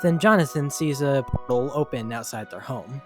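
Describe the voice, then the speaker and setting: A man's voice: mid-range voice, normal speed. A man reading scripted story narration as the narrator. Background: background music.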